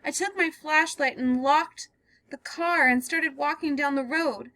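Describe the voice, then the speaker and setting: A woman reading a play puts on a terrified young woman who has fled through a storm, her voice pitched very high and pushed loud. She pleads in breathless, sobbing bursts. The read-aloud play gives her no break from fear. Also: fairly even intonation, measured pace, no echo, full character voice. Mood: frightened.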